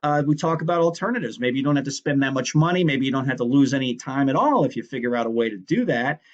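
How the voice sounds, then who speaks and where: A man's voice: medium pitch, animated, fast, dull audio. A man teaching a recorded lecture in a conversational, explanatory way, often stopping to correct himself mid-sentence. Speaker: instructor. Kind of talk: recorded lecture on project management.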